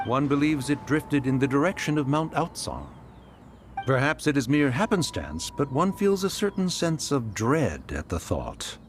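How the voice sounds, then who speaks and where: A man's voice: mid-range voice, very animated, moderate pace, a little hiss or hum, lightly acted. A man voicing an irritable ancient sage in a game, who speaks in archaic, scolding tones. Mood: stern. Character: stern ancient male adeptus, irritable. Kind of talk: game voice acting.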